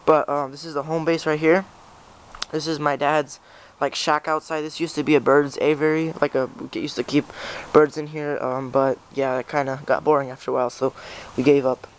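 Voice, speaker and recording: Teenage male vlogger, fairly high voice, flat intonation, informal and halting tour-guide delivery, noisy handheld camera audio. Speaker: YouTube channel host. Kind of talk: casual handheld walkthrough vlog. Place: backyard, then inside a small unheated shed. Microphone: handheld camera's built-in mic. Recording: handheld camera with clear background noise.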